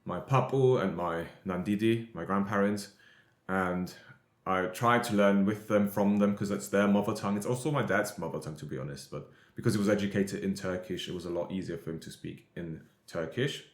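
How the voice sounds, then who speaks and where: A man's voice: deep voice, a little fast, roomy sound. A man hosting a podcast in casual, conversational talk. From Europe. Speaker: podcast host.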